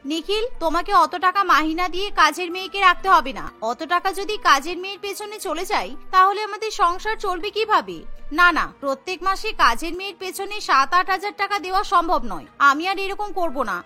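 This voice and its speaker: A woman's voice: very high voice, animated, a little fast, sharp tone, faint music behind, full character voice. A woman voicing an earnest, flustered young daughter-in-law in a cartoon. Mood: apologetic. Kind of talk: cartoon voice acting.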